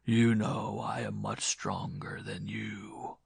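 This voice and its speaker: A man voicing a bitter, menacing, disfigured blind former lover in a slow, hushed, breathy voice for a horror story. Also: on the low side, lively intonation, no room sound, full character voice. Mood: hushed menace. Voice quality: breathy, hushed, often whispering. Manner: slow, quiet, pleading turning cruel.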